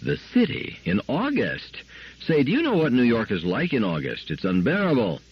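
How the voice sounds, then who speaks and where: A man's voice: medium pitch, huge pitch swings, moderate pace, muffled recording, slight background noise, voice pushed up. A man reading a story aloud, voicing a weary husband who grumbles and mutters his complaints. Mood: grumbling.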